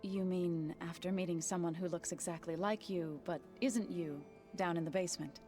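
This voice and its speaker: Actress as gentle, lowish-voiced companion woman. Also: steady tone, moderate pace, faint music behind, natural voice. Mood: caring, curious. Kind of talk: video game character dialogue.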